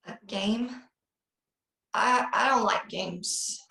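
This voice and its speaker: A woman playing a frightened film star in a slasher table read, halting and pleading, over a video call. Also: mid-range voice, creaky, bright, forceful tone, heavily acted. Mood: alarmed. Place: indoor room on a video call. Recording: roomy, echoing video call audio.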